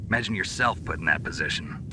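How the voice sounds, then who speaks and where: A man voicing a gruff, dry-witted special forces agent with a low rasp, in a noisy game cutscene. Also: animated, quick pace. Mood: wry. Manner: dry, laconic.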